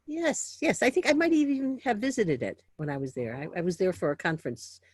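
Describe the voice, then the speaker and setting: A woman's voice: mid-range voice, fairly quick, husky. A woman professor chatting with a student, giving short agreeing replies. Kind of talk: casual conversation.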